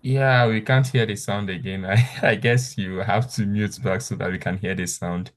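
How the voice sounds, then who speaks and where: Man, low voice, casual moderator, practical troubleshooting instructions, video call. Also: steady tone, moderate pace. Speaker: session moderator giving technical help.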